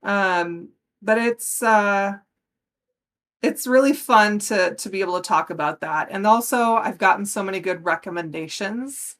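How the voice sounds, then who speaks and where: A woman's voice: medium pitch, unhurried, fairly bright tone. An American woman in her forties speaking as a podcast guest in casual conversation, earnest and emphatic. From United States. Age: 40s.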